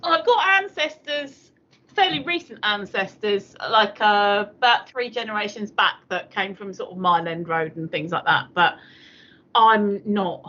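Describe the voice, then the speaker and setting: A woman's voice: medium pitch, sharp tone, lo-fi sound. An older woman from South London with a South London accent, chatting casually as a guest with lots of ums and little laughs. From South London, born in Surrey. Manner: chatty, with frequent fillers and laughs. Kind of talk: casual chat.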